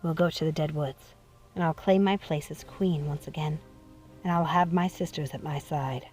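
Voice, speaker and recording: A woman's voice: sits low, fairly even intonation, moderate pace, pitched below the performer's own voice, lightly acted. Villain witch mother, played by a female reader: menacing, possessive, syrupy threats, music underneath. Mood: cold, coaxing. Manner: syrupy menace, swinging from hushed coaxing to screaming.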